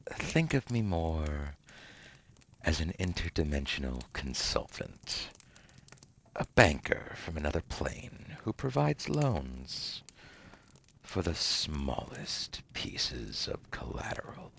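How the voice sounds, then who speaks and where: Seductive demon banker for ASMR roleplay male performer: rock-bottom pitch, half-whispered, slow and silky, smug, faint noise behind. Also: very animated, fairly bright tone, heavily acted. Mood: businesslike, sly. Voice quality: gravelly, breathy half-whisper. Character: seductive, condescending male demon dealmaker, suave otherworldly banker.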